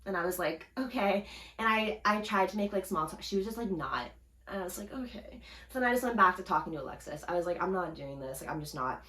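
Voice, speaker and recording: A young woman co-hosting a casual podcast, telling a story in an animated way, acting out quotes and laughing often. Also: on the low side, fast talker, reverberant. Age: young adult. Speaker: podcast co-host.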